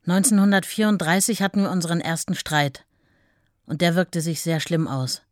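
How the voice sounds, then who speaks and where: Actress as dry-sounding, long-suffering wife. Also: female voice, lowish voice, steady tone, moderate pace, no echo, natural voice. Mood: troubled recollection. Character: writer's steady, long-suffering wife recalling their marriage. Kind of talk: radio play monologue.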